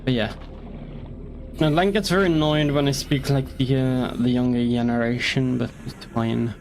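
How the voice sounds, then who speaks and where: A man's voice: medium pitch, fairly even intonation, moderate pace, darkish tone, slight background noise, poor audio. A young man chatting casually while streaming a game, rambling and joking with the odd curse. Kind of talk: casual gaming commentary.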